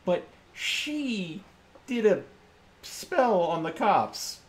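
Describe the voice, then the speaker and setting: Animated man chatting through a tabletop game. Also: high-pitched, very slow. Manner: animated, thinking aloud. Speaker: player on a game stream. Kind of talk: casual tabletop roleplay chat. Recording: noisy game stream.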